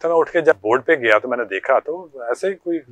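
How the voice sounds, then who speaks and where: A man's voice: fairly high pitch, expressive, a little fast. An older man with a Pakistani accent telling stories from his working life as an interview guest, in a conversational way with reported dialogue. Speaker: guest, former air traffic controller.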